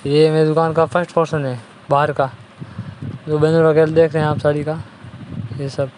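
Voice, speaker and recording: A man's voice: a little high, level tone, moderate pace, a little mellow, slight background noise, rough recording. A young man guiding viewers through a daily vlog, showing his way to the shop where he works and the shop itself. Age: young adult. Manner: plain tour-guide pointing out sights. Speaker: vlogger. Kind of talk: casual walk-through vlog. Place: walking from home to a sari shop, indoors and out. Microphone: handheld phone camera.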